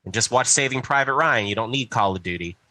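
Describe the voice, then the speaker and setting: A man's voice: mid-range voice, animated, quick pace, bright, forceful tone, no room sound. A man hosting a casual podcast chat, making points emphatically and swearing freely. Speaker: podcast host.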